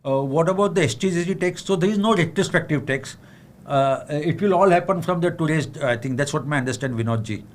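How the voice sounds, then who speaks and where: Frank Indian analyst, fairly high voice. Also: male voice, fairly quick. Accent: Indian English. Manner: frank, with many fillers and repeated restarts; leans on tag questions and switches into Hindi. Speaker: market analyst answering viewer questions. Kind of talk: livestream Q&A on the budget.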